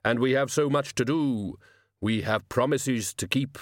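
Male narrator as solemn supernatural being, ponderous slow delivery, formal, earnest, storybook figure, dry recording. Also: medium pitch, no echo, pitched above the performer's own voice, close to an everyday voice.

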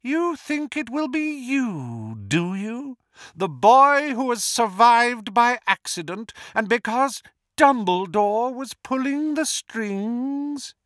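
A man voicing a furious, snake-like dark wizard villain in an audiobook, taunting and theatrical in his menace. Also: extremely high pitch, expressive, takes it slowly, smooth, dry recording, studio quality, voice pushed up, heavily acted. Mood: jeering.

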